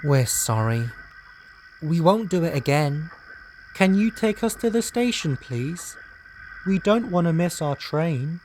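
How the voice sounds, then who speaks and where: A man's voice: a little high, animated, slow, a little mellow, slight background noise, pitched above the performer's own voice, low-quality recording. A man voicing contrite, eager little boys in a storybook dub, with a pleading, childlike manner.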